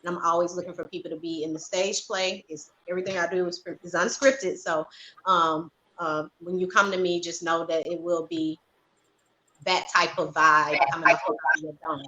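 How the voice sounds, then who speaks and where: A woman's voice: on the low side, level tone, moderate pace, bright, forceful tone. A woman guest chatting casually on an online call with some background noise. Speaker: guest, stage play writer-director. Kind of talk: casual chat. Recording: noisy online call with room ambience.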